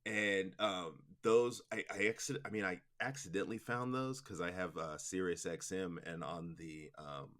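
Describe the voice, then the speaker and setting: Guy with a lowish voice rambling casually in an interview, slangy and loose. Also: fairly even intonation, normal speed, hardly any pauses, fairly bright tone. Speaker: interview guest, audio drama producer.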